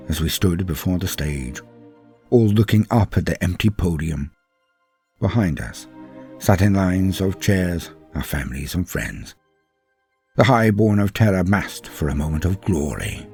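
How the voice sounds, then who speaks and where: A man narrating a story in a solemn, theatrical, dramatic style with a husky voice and drawn-out phrases. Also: low voice, a little mellow. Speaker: storyteller narrating fan fiction. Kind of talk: dramatic scripted first-person narration. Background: music.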